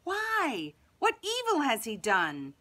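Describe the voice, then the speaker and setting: Woman as Roman governor, proud man, exasperated, declamatory, forceful bright voice, storybook reading. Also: high voice, huge pitch swings, takes it slowly, voice pushed up, exaggerated voice. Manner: declamatory, questioning.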